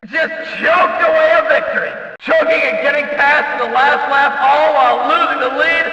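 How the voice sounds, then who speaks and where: An excitable male race announcer for a racing video game, pitched extremely high and piercingly bright. He belts out dramatic, emphatic calls that ring out with heavy echo. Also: normal speed, highs cut off. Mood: dismayed, mocking. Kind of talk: hyped race commentary. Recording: echoey hall, distant from the mic.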